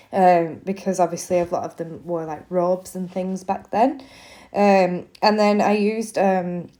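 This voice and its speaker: A woman's voice: lowish voice, mellow. A woman in her thirties with a stuffy cold, chatting through a voiceover with lots of ums. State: congested with a cold. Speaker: craft vlogger. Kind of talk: casual voiceover narration. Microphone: voiceover mic.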